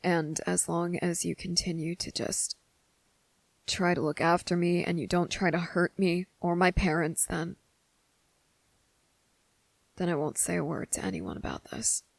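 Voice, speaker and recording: A woman playing a wary, blunt but softening young boy in an ASMR roleplay, speaking deliberately with hesitant pauses. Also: lowish voice, gravelly, no room sound. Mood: softening, gentle. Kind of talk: ASMR roleplay audio drama.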